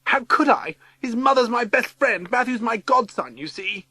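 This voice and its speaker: A man's voice: extremely high pitch, lively intonation, normal speed, sharp tone, no echo, studio quality, voice pushed up, full character voice. A man voicing a distressed, pleading adult woman with an anguished manner in a storybook reading. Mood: distressed, defensive.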